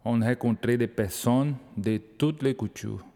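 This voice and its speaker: A man's voice: mid-range voice, measured pace, warm tone. A man from Brazil with a Brazilian accent, reading a simple scripted narration. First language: Portuguese. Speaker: exhibition participant. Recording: long reverb.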